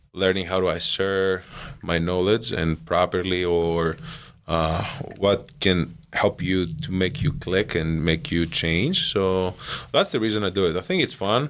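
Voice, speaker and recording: Deep-voiced young Greek man. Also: fairly bright tone. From Greece. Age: young adult. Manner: earnest, rambling, laughs often. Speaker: guest athlete. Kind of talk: casual interview chat.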